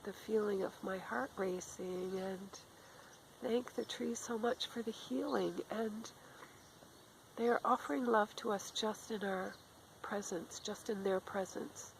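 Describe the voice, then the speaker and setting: A middle-aged woman with a cold, speaking in a raspy, often whispered voice, giving a gentle spiritual talk outdoors in the woods. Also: medium pitch, fairly even intonation, unhurried, a little hiss or hum, low-quality recording. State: has a cold. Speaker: spiritual channeler. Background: faint outdoor ambience with a crow.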